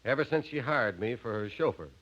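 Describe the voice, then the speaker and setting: Suspected chauffeur for vintage radio comedy mystery: lively intonation, muffled 1950s broadcast sound. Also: male voice, medium pitch, a little fast. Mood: matter-of-fact. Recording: dull 1950s radio recording.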